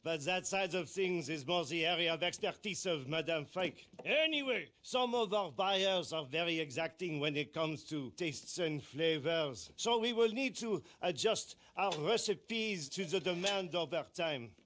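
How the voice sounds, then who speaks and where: An actor playing a proud, fussy, genteel French master distiller with a French accent, flamboyant and didactic, in a game cutscene. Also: male voice, on the high side, expressive, a little slow, few pauses, a bit bright. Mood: genteel, instructive. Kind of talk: cinematic game dialogue.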